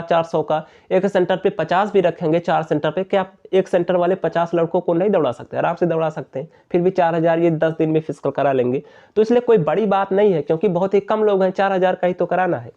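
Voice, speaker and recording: A man's voice: fairly high pitch, mellow. A man explains updates to viewers directly and informally, repeating his points, in a muffled lo-fi voice-over. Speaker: exam update channel host.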